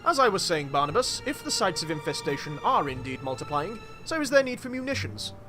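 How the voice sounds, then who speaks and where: A man's voice: high voice, sing-song, fairly quick, over music. A man voicing a callous, imperious industrialist father in a comic dub, curt and commanding.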